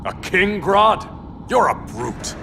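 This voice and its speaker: A man's voice: high voice, big pitch swings, slow, creaky, background noise, heavily acted. An actor playing a proud, resolute sea king out for vengeance in a video game, firm and earnest, with music behind him. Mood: scornful. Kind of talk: video game hero acting.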